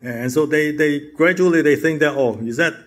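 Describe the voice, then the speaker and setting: A man presenting his mission work, speaking earnestly with many pauses and fillers. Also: a little high.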